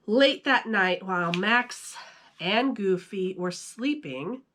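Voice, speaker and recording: A woman storyteller reading a children's storybook aloud in an animated style. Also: mid-range voice, slow pace, slight background noise.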